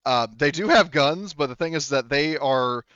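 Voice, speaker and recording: A male game master improvising narration in a casual, rambling, halting manner, swearing here and there. His voice is smooth and medium-pitched, on a dry, slightly dull recording. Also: animated, sharp tone, dry recording.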